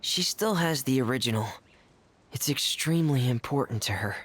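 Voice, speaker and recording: An actor voicing a calm, earnest young man in a video game, speaking gently and with measured reassurance. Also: mid-range voice, natural voice. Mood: gentle, reassuring.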